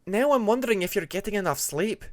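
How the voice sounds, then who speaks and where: A man's voice: sits high, lively intonation, quick pace, no echo, voice pushed up. A man voicing an inquisitive young female dragon, pushy and questioning, in a fantasy audiobook. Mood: curious, pressing. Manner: questioning, insistent.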